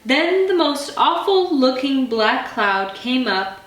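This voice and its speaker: A woman's voice: high voice, big pitch swings, slow, fairly bright tone. A woman reading a children's bedtime story as a scripted narration. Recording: roomy, distant mic, faint music.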